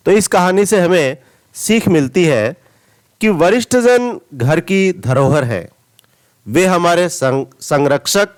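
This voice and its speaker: A man narrating a scripted moral story as a storyteller, reading deliberately and expressively. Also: fairly high pitch.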